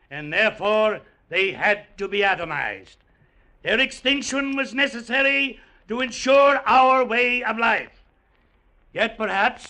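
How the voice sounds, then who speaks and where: An actor playing a pompous Martian commander, making formal, declamatory speeches in an old-time radio drama. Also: male voice, high-pitched, sharp tone, heavily acted, low-quality recording. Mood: solemn, grand. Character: pompous Martian commander addressing his victorious troops.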